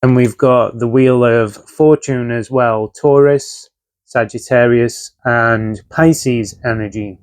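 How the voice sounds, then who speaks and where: A man's voice: mid-range voice, fairly even intonation, slow, darkish tone, smooth, dry recording. A man giving a casual tarot reading, talking conversationally with frequent fillers while getting over a chest infection. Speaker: tarot reader.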